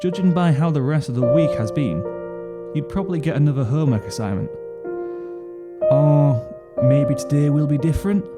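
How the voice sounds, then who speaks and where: A man reading a second-person story as a narrator, expressive, with a weary, brooding delivery. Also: fairly high pitch, normal speed. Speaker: fan fiction narrator. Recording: piano music under the voice. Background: piano music.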